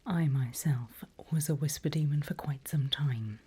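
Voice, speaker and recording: A woman whispering an ASMR roleplay as a seasoned demon mentor, slow and deliberate, with playfully sly menace, close to the microphone. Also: low voice, warm tone, a little hiss or hum.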